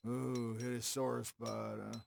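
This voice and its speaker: An actor playing a sly, boastful old western sheriff in a video game, gravelly, folksy and mocking. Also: male voice, medium pitch, level tone, slow pace, slight background noise. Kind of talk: scripted game cutscene acting.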